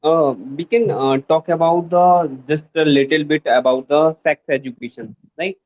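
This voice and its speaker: A man's voice: fairly high pitch, steady tone, normal speed, dull audio. A young man from India with an Indian accent, learning English and talking hesitantly with many fillers. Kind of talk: casual conversation lesson.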